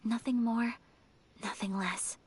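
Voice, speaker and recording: A woman's voice: on the high side, steady tone, takes it slowly, slight background noise. A woman voicing a refined, thoughtful young noblewoman in an anime video game, speaking in a formal, composed manner. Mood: reflective. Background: game music.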